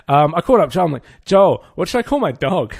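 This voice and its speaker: A man's voice: a little high, sing-song, fast talker, creaky, darkish tone, breathy edge, dry recording, clean studio sound. A man co-hosting a podcast in casual comedic chat, bantering with a mock-earnest, joking manner. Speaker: podcast co-host.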